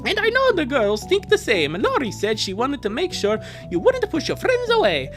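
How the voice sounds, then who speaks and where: A man's voice: extremely high pitch, wildly swooping pitch, moderate pace, music in the background, voice pushed up, heavily acted. A man voicing an earnest, sensitive young child in a heartfelt, pleading way for a fan dub. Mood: tender reassurance.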